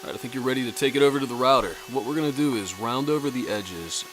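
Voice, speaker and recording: A man hosting a casual woodworking tutorial in his workshop, relaxed and self-deprecating. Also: medium pitch, fast talker, a little hiss or hum. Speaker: woodworking host. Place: home woodshop.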